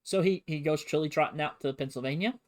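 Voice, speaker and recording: Guy with a kinda high voice teaching history on stream, casual and jokey, bit sarcastic. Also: fast talker. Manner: sarcastic, playful asides. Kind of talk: casual, comedic history lecture to a livestream audience.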